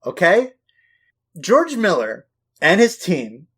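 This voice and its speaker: A man's voice: sits high, wildly swooping pitch, slow, fairly bright tone, breathy edge. A man co-hosting a casual film discussion, talking emphatically with plenty of swearing and hyperbole. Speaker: podcast co-host.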